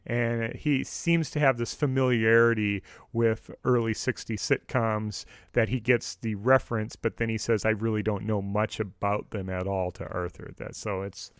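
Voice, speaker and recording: A middle-aged man co-hosting a podcast, casually discussing radio drama in a measured, detailed way. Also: lowish voice, fairly even intonation, no echo, clean studio sound. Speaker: podcast co-host.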